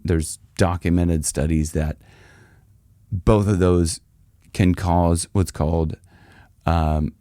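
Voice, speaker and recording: A man's voice: low-pitched, a little mellow, clear, resonant tone, no echo, studio quality. A man who is a rock singer and vocal coach, talking casually to the camera with a loose, rambling delivery full of restarts. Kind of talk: casual direct-to-camera advice.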